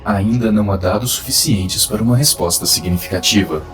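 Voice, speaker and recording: A man playing an ancient, patient cosmic supercomputer with a measured, impassive, formal delivery. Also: lowish voice, fairly bright tone, faint music behind, close to an everyday voice. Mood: calm, impassive.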